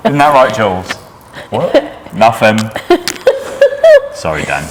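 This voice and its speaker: A man's voice: on the low side, sing-song, takes it slowly. A Welsh-born man who runs a coffee roastery, talking casually in an interview, dry and wry, often stopping and restarting. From Wales. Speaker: coffee roaster and business owner. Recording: noisy room ambience.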